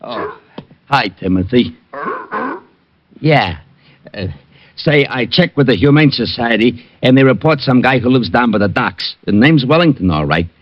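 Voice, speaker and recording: A bumbling police sergeant hesitates and stumbles through his words in a mid-pitched voice. The vintage radio recording sounds dull. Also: animated, unhurried, long pauses. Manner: hesitant, full of uhs. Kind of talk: 1940s radio acting.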